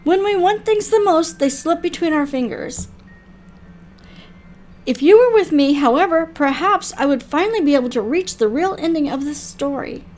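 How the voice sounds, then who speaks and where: A woman's voice: sits high, animated, moderate pace, a little mellow. A woman voicing a mysterious, courteous female keeper of an otherworldly library in a visual novel, with formal, wistful phrasing. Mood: wistful, earnest. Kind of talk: visual novel character read aloud.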